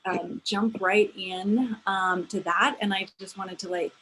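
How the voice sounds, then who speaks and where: A woman moderating a webinar panel in an upbeat, brisk way over video call. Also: medium pitch, steady tone, fairly bright tone, slight background noise, low-quality recording.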